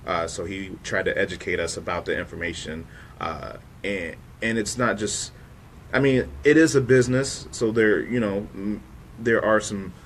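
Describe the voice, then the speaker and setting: A man's voice: on the low side, moderate pace, a bit bright, a little hiss or hum. A man in his thirties hosting a casual interview, chatting in an informal, conversational way. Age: 30s. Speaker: show host.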